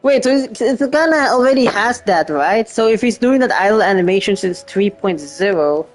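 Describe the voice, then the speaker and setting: A woman's voice: medium pitch, animated, normal speed, soft background music. A woman doing casual gameplay commentary as a streamer, thinking aloud in a hesitant way. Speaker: game streamer.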